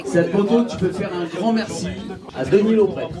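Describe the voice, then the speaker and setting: High-voiced man over a loud party crowd. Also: normal speed. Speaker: announcer of a birthday gift. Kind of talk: informal speech to party guests. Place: lively birthday gathering. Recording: distant mic amid loud party crowd.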